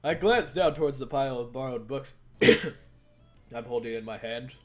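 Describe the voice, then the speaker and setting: A deadpan young man giving matter-of-fact advice, as a man reads him in his own voice. He sounds dry and unhurried. There is faint music behind. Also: mid-range voice, lightly acted. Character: deadpan young man protagonist, dryly lecturing.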